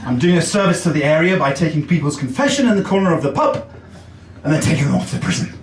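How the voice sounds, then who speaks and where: A man's voice: fairly high pitch, lively intonation, fast, roomy sound, recorded from a distance, noisy background. An English man performing a chatty, self-deprecating stand-up comedy set in a basement comedy club. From England.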